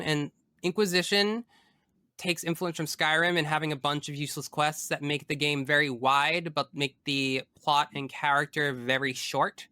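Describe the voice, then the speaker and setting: A man's voice: a little high, bright, forceful tone, clear, resonant tone, no echo. A man talking casually as a podcast guest about video games, opinionated and emphatic, often wandering off into asides.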